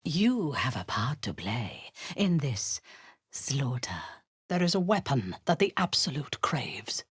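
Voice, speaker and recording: A woman's voice: rumbling low, sing-song, slow pace, husky, dry recording, clean studio sound, full character voice. An actress voicing a cruel, zealous female warlord in a video game, giving orders in a cold, commanding tone with hissed half-whispers. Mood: menacing.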